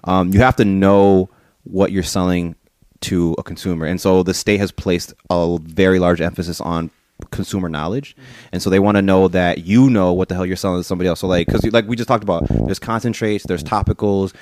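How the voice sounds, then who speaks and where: A young man with a low, deep voice rattles along quickly in relaxed, slangy chat. He tends to drop quieter as he explains. The sound is close and dry, with no room around it. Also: no room sound. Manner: laid-back slangy talk, drifts quieter as he explains. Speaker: podcast guest. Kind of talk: casual chat. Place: home kitchen.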